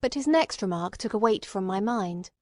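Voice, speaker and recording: A woman narrator reads a classic novel in a dry, close sound. Her delivery is measured and literary, with a medium-pitched voice that moves into acted dialogue. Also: lively intonation, darkish tone, dry recording.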